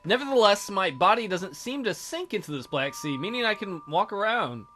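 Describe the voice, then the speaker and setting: Animated, bright-voiced man doing a let's play. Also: high-pitched, moderate pace, slight background noise. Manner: goofy, crude humor, theatrical reading. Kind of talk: reading a visual novel aloud with joking commentary.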